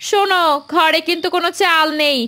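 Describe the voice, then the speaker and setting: A woman voicing an irritable, sharp-tongued village mother in a cartoon fairy tale. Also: very high voice, fairly even intonation, a little fast. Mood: annoyed.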